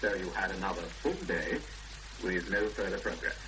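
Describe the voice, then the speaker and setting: A man playing a calm, probing interviewer in a 1970s radio drama, asking measured, formal questions. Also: low-pitched, natural voice. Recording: noisy, hissy 1970s radio broadcast recording.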